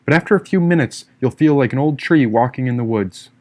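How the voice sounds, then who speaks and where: A man's voice: medium pitch, expressive, fairly quick, darkish tone, natural voice. A man voicing a free-spirited, teasing young woman in an audiobook, with a breezy, irreverent manner. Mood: easygoing, musing.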